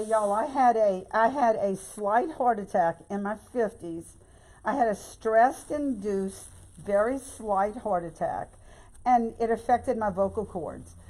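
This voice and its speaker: An older woman with a hoarse voice and a Louisiana Southern accent, chatting folksily through a bow-making tutorial. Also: on the high side, darkish tone, poor audio. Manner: chatty and folksy, keeps saying y'all. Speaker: craft tutorial host. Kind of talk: casual bow-making demonstration.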